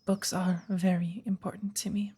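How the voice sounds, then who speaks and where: A patient witch of the woods , acted by a woman, teaches magic in an ASMR roleplay. Her voice is husky and breathy, often dropping toward a hush. She chooses her words formally and delivers them with gentle, measured care. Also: medium pitch, level tone. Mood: patient, instructive.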